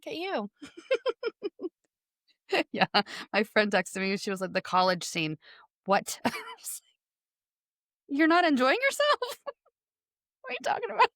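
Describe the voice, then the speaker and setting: A woman's voice: high-pitched, wildly swooping pitch, a little slow, pauses often, a bit bright, no echo. Young Texan woman, podcast host, bubbly and giggly, dry close sound. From Texas. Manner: bubbly, laughs often. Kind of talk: casual interview chat.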